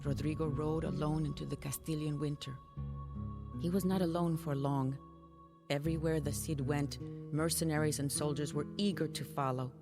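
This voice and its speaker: A solemn medieval Spanish noblewoman recounts the story of her exiled knight husband. She speaks as a measured, reflective game narrator, over clearly audible music. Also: low-pitched, steady tone. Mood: wistful, sorrowful. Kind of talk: scripted dramatic storytelling narration.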